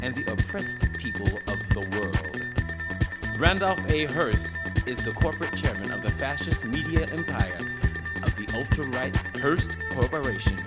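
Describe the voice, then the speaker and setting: Casting: stern revolutionary commander, very low female voice, formal proclamation over loud electronic music. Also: lively intonation, a little slow. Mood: solemn, accusing. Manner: declamatory, formal. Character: stern guerrilla field marshal reading a communiqué.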